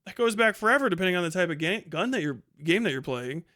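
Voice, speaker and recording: A man with a fairly high, bright and forceful voice commentates casually on a livestream. He rambles through his opinions in blunt, slangy language and swears freely. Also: animated, fast talker. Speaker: livestream host reacting to a video.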